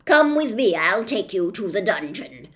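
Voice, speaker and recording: A woman voicing a fierce, pompous little male policeman from a storybook, blustering and accusing in loud, emphatic tones. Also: mid-range voice, big pitch swings, unhurried, background noise. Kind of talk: storybook character voice.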